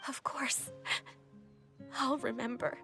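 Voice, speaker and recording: A woman playing an earnest, emotional young daughter in a video game drama, halting and pleading in manner. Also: high-pitched, little pitch movement, gravelly. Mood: subdued, sincere. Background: background music.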